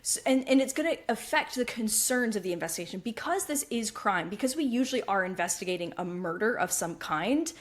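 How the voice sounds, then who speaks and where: A woman podcast co-host with a slightly froggy voice, explaining writing craft conversationally and checking in as she goes. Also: a little high.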